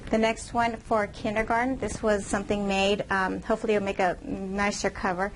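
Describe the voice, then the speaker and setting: A woman professor giving a recorded lecture over slides, reading points aloud in a measured, explanatory way. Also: mid-range voice, little pitch movement, a little hiss or hum, rough recording.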